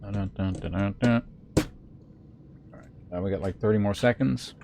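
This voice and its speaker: A man's voice: on the low side, normal speed. A man chatting and commentating on a live game stream in a rambling, wry way, with faint noise on the recording.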